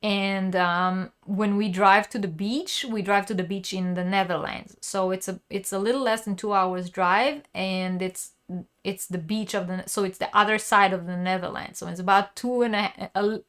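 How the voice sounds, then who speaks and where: Chatty woman with a smooth voice. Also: mid-range voice, fairly bright tone. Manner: rambling and chatty, with frequent restarts. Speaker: crochet livestream host reading viewer comments. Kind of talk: casual livestream chat. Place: lives in western Germany near the Dutch border.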